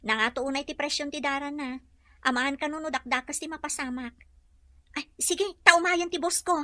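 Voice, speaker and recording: Casting: woman as forthright young villager for Ilocano audio drama, high-pitched and sharp-toned. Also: normal speed. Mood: matter-of-fact.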